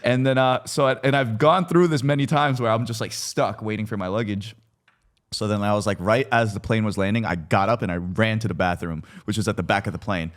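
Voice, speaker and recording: A man's voice: on the low side, fairly even intonation, a little fast. A man chatting informally on a podcast, telling things in a colloquial, conversational way. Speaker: podcast participant. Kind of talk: casual chat, anecdotes.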